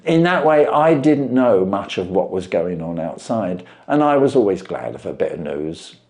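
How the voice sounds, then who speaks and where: Man voicing storybook London widower, earnest, wordy, respectful, homebody shopkeeper, very animated intonation. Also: low-pitched, normal speed. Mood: earnest, plaintive. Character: stay-at-home London pawnbroker, earnest, long-winded widower.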